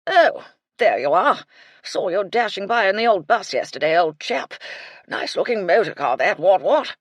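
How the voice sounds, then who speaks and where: A woman's voice: mid-range voice, sing-song, normal speed, no echo, pitched above the performer's own voice. A woman voicing a befuddled old retired army colonel, elderly and blustering in clipped, bumbling phrases. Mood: hearty.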